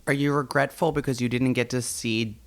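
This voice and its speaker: A man's voice: medium pitch, fairly even intonation, brisk. A man speaking casually as the co-host of a podcast.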